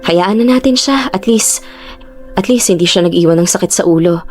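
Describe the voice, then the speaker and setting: A woman's voice: fairly low pitch, moderate pace, a little mellow, lightly acted. A woman playing a weary, dutiful young daughter in a Tagalog radio drama. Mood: resigned. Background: music.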